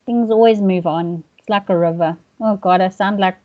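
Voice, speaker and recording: A woman's voice: medium pitch, normal speed. A South African woman co-hosting a casual live stream, rambling with self-mocking asides. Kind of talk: casual chat. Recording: dull lo-fi stream audio.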